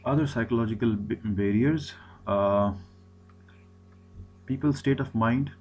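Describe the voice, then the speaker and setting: A man's voice: mid-range voice, a little slow, darkish tone, slightly rough. A man, an Urdu speaker, giving a recorded lecture, often repeating himself and restarting phrases. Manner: repetitive, many restarts and fillers.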